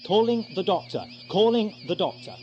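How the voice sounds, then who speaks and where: An actor playing an eccentric, theatrical time traveller in an audio drama, brisk and self-mocking. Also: male voice, high-pitched, animated, a little mellow, highs cut off, faint music behind. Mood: urgent, declaiming. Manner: theatrical, expounding, exclamatory. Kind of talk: 1980s amateur audio drama acting.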